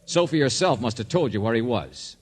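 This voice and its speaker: An American man playing a tough, wry private investigator in old radio drama style, clipped and commanding, with a husky voice. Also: medium pitch, animated, a little fast. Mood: needling. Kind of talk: 1940s-50s American radio drama acting.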